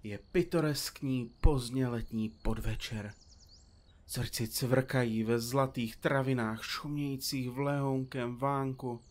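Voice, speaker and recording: A man's voice: medium pitch, fairly even intonation, natural voice. A man playing a talkative, theatrical detective in an audio drama, speaking in a florid, pompous and teasing way. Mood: florid, wry. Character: chatty, theatrical, teasing male detective dictating to his recorder. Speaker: detective in a comedy mystery podcast.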